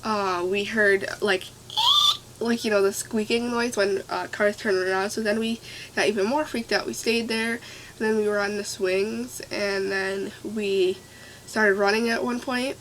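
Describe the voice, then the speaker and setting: A young woman with a stuffy nose telling a scary story to her camera, rambling and excitable with lots of fillers. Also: medium pitch, measured pace, noisy background. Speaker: vlogger. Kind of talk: casual storytime.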